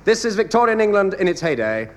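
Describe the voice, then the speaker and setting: An actor playing a grandiose narrator of a mock-epic family saga in a radio comedy. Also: male voice, high voice, sing-song, fast talker, slight background noise. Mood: grandly solemn. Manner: grand, theatrical.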